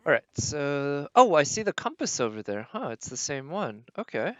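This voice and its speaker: A man's voice: a little high, big pitch swings, normal speed, no room sound. A man streaming a video game, giving casual live commentary and thinking aloud in short, murmured remarks.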